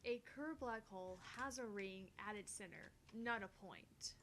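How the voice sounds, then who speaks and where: A woman's voice: unhurried, a bit bright, close to an everyday voice. A woman voicing an earnest, easily flustered young female scientist from a visual novel, explaining with the odd stammer. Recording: faint noise with low rumble.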